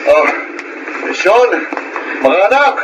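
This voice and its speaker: A man's voice: high-pitched, sing-song, very slow, very noisy, exaggerated voice. A man playing an affectionate, earnest father and suitor in a Filipino radio drama. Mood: joyful. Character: loving father returning home to propose. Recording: loud music over the voice.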